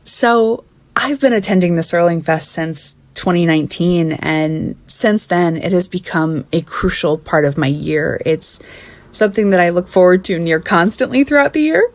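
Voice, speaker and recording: Earnest young woman, slightly low voice. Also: normal speed, dry recording. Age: 20s. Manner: articulate, earnest, appreciative. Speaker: fan sending in a recorded clip. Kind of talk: prepared personal testimonial.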